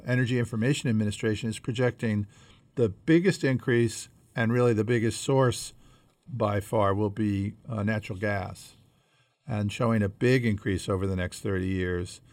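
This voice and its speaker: A man co-hosting a conversational podcast, explaining things in a measured way as he thinks aloud. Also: on the low side, darkish tone, no room sound. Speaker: podcast co-host.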